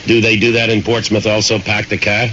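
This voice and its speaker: Deep-voiced man hosting call-in radio. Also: slight background noise. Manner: relaxed, joking, slow deliberate announcing. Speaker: radio host. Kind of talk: live call-in radio interview. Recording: dull lo-fi radio broadcast.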